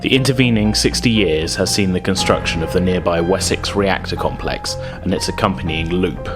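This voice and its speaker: A man hosting as game master, narrating a tabletop roleplaying game in a measured way. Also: deep voice, music in the background. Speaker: game master, podcast host.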